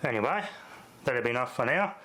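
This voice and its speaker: A man's voice: medium pitch, expressive, fairly quick. Australian man, casual talk over background noise. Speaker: host of a repair channel. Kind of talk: casual unscripted demonstration talk.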